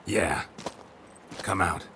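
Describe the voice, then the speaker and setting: Super deep gravelly monster hunter, stoic and deadpan, game dialogue, bit of ambient noise. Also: male voice, drawn-out words, full character voice. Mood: stoic, dry. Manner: terse, deadpan. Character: stoic, world-weary male monster hunter. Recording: slight background ambient noise.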